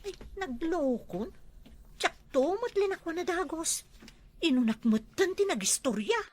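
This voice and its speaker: A woman's voice: very high voice, expressive, a little slow, a little mellow. A woman playing a nosy, gossipy village storekeeper in a comedy drama, prying and teasing, with an Ilocano accent. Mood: exclaiming.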